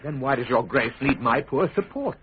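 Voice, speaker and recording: An actor playing a principled, deferential statesman in a classic radio drama, speaking in a measured, formal and courteous way. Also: medium pitch, animated, background noise, lightly acted. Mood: careful, humble. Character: principled, deferential male statesman, grave and careful. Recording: muffled, narrow-band radio broadcast.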